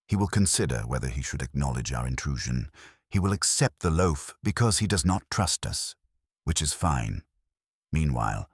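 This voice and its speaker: A male narrator plays an aloof genius detective from a mystery story. He makes formal, deliberate pronouncements in a very deep, husky voice, with pompous swells and drops in pitch. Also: no room sound, studio quality, exaggerated voice. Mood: imperious.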